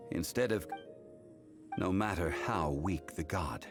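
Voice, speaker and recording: An actor playing an ancient god in mortal guise for a video game, calm, solemn and erudite, speaking in measured, formal phrases. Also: male voice, deep voice. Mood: grave, composed. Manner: measured, formal, grave storytelling. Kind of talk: English video game voice acting. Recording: studio voice over game music.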